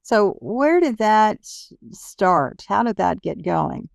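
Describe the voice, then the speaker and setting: A woman's voice: medium pitch, very animated, slow, creaky, dry recording, studio quality. A woman hosting a podcast, introducing and interviewing a guest.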